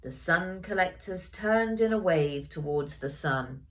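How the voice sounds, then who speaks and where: A woman author reading her children's story aloud in an expressive storytelling style. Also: lowish voice, a little slow.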